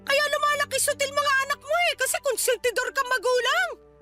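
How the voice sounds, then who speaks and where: A woman's voice: extremely high pitch, steady tone, a little fast, over music. A woman playing a stern, short-tempered Filipino grandmother who scolds and dismisses her grown daughter in a radio drama. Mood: exasperated scolding.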